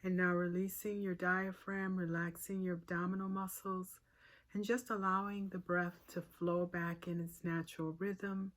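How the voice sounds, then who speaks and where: A woman leading a guided meditation as a teacher, giving short, unhurried cues with pauses and a soothing, gently inviting manner. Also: on the low side, flat delivery.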